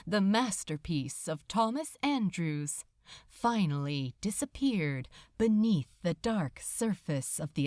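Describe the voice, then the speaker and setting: Slow, highly expressive woman narrator. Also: dry recording, studio quality. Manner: solemn, deliberate, suspenseful. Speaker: podfic narrator. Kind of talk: dramatic scripted story narration.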